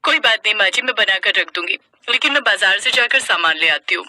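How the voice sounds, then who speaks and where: Woman doing dutiful young bahu in a cartoon story, respectful, sharp bright voice. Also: mid-range voice, expressive, brisk, no echo. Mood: obliging. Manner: respectful, obliging. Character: dutiful, polite young daughter-in-law who cooks. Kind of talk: Hindi cartoon family moral story.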